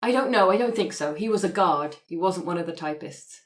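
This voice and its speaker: A woman's voice: fairly low pitch, lively intonation, a little fast. Woman roleplaying at the game table. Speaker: player in an actual-play podcast. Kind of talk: conversational in-character roleplay.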